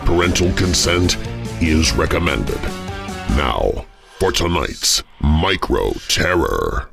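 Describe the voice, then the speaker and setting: Male narrator, kids' horror podcast: dramatic scripted read, lively pitch movement, music bed underneath. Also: slow pace, gravelly.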